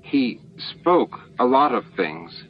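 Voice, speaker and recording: A man's voice: mid-range voice, very animated, slow pace, pitched above the performer's own voice. A man voicing an earnest, resolute alien hive master in an audiobook, speaking formally and emphatically. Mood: troubled, defensive. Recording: dull, noisy lo-fi book-on-tape recording.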